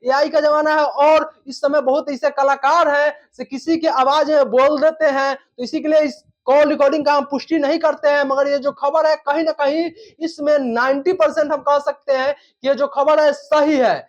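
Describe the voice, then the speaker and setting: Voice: sits high, flat delivery, moderate pace, few pauses. A news presenter giving an informal commentary on viral news in repetitive, wordy phrasing. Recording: dull lo-fi recording.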